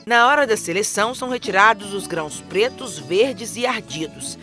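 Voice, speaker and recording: A woman reading a scripted instructional voice-over in a clear, even manner. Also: medium pitch, normal speed. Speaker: narrator. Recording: music under the voice.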